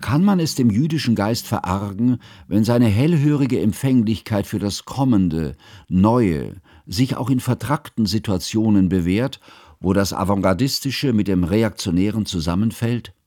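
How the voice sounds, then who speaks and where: An actor playing a mild, cultured schoolteacher who narrates his memoir in a radio drama, measured, bookish and reflective. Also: on the low side, lively intonation, mellow, no echo, clean studio sound. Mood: regretful, reflective.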